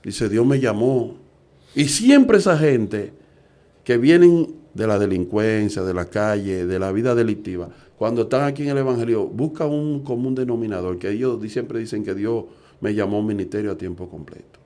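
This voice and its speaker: A man's voice: on the low side, normal speed, dark tone. A middle-aged man, preaching earnestly and asking rhetorical questions. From Dominican Republic. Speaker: evangelist preacher. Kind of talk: preaching talk.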